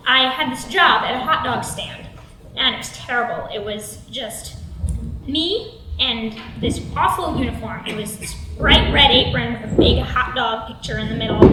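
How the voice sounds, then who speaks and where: A woman's voice: fairly high pitch, expressive, normal speed, lightly acted. A young woman playing a chatty stand-up comedian, speaking conversationally in an echoey room. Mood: animated, amused. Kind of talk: conversational storytelling and dialogue. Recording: echoey room, faint noise.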